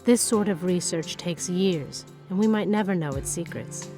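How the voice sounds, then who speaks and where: A woman's voice: on the low side, moderate pace, over music, lightly acted. Actress as an earnest, composed young adventurer woman. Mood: resolute. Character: earnest, principled young female researcher and adventurer. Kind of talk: video game cutscene acting.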